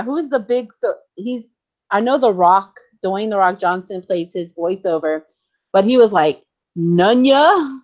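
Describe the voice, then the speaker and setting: A woman's voice: mid-range voice, dull audio. A woman hosting a livestream, chatting casually while she works on a craft and laughing often. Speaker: livestream host.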